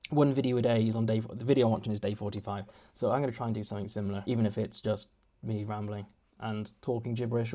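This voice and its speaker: Rambling man with a fairly low voice. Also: dark tone. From United Kingdom. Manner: rambling, self-deprecating, many restarts. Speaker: vlogger. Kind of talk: casual rambling vlog. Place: home, indoors.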